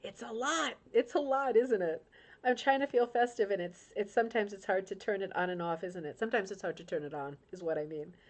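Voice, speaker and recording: A woman's voice: medium pitch, fairly quick. A middle-aged woman from Rhode Island, hosting a crafting club livestream in casual, emotional chat and reading out viewer comments, with frequent tag questions. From Rhode Island, United States.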